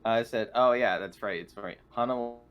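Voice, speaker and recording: A man's voice: mid-range voice, normal speed, dull audio. A man playing a tabletop roleplaying game, chatting casually over an online voice call. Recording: faint noise on online voice chat.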